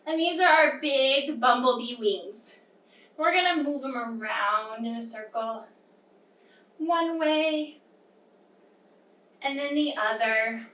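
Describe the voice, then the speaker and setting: A young woman with a high voice leads a yoga storytime for little children. She speaks slowly, mixing simple, encouraging directions with read-aloud story lines. She is recorded from across a small, echoey room. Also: sharp tone. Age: young adult. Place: small indoor room with wooden floor. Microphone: camera across the room. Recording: roomy echo, distant microphone.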